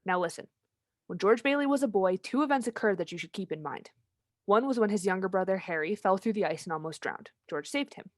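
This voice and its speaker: A commanding senior angel, played by a woman narrating in a radio drama. She speaks fast and businesslike at a medium pitch, with a dry, close sound. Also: animated, dry recording, lightly acted. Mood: storytelling. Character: brisk, authoritative senior angel giving orders. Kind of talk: old-time radio play acting, storytelling.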